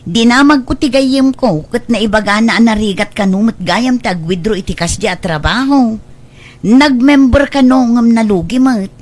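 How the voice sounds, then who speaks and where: Woman playing a hesitant young woman, fairly high voice. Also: normal speed, darkish tone, lightly acted. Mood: doubtful. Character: hesitant, polite young village woman seeking work. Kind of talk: scripted audio comedy drama dialogue.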